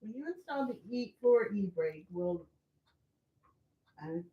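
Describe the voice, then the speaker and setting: A woman co-hosting a car livestream, relaxed as she reads out viewer comments and questions. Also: mid-range voice, slow, echoey room, narrow, tinny audio, a little hiss or hum. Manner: relaxed, reads out chat messages. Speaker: co-host reading viewer comments. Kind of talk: casual chat on a livestream.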